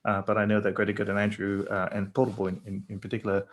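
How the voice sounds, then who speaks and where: A man's voice: fairly low pitch, completely flat delivery, fast. A middle-aged man born in Burma and raised in England, with an English accent, speaking thoughtfully as a panel guest with many fillers and restarts. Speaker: panel guest, company founder. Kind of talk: conversational panel talk.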